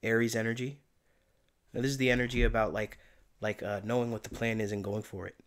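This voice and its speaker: Low-voiced man giving a gentle tarot reading. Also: level tone, quick pace. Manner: soft, meandering and reflective, with many fillers. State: voice giving out, tired by day's end. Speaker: tarot reader and channeler. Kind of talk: casual spiritual tarot reading.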